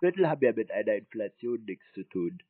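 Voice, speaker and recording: A man with a fairly low, husky voice argues over a narrow phone line. He is blunt and contrarian, accusing the caller as he answers. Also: big pitch swings, quick pace, dry recording. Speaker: scam-baiter answering a cold call. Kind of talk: unscripted phone argument. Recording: muffled narrow-band phone call.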